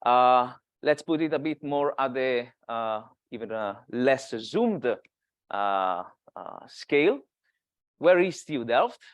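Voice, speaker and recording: A man's voice: mid-range voice, lively intonation, slow pace, dry recording. A man in his thirties from Italy with an Italian accent, giving an academic seminar talk, hesitant with many filler sounds. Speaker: guest speaker.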